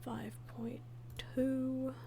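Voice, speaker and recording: A woman with a fairly high voice talks very slowly on a casual livestream. She sounds absent-minded and halting, muttering to herself as she works. There is slight noise behind her. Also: little pitch movement, vocal fry, dark tone. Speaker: livestreamer and comic dub creator. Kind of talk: casual livestream chat while working. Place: indoors at a computer, streaming.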